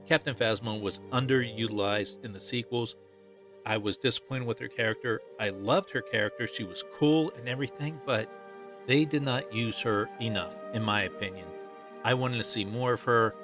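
Man hosting a fan podcast over loud music. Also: medium pitch, moderate pace. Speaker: podcast host. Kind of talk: solo fan commentary on films.

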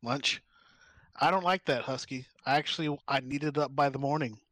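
A man's voice: mid-range voice, normal speed, sharp tone, no echo. A man co-hosting a casual comedy podcast, playful, laughing easily and sliding into mock rants. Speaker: podcast co-host.

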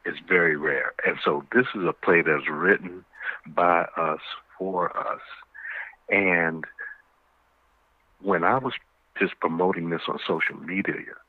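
A man giving measured, explanatory answers as a guest in a conversational interview. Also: sits low, steady tone, no room sound. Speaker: stage play cast member, panel guest. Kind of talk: conversational, informative interview talk. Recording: muffled phone-like radio line.

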